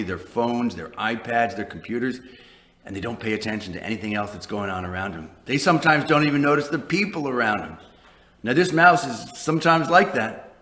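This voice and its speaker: A man's voice: medium pitch, fairly bright tone. A man introducing a story hour to young children, chatty and full of rhetorical questions. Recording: roomy, echoey recording.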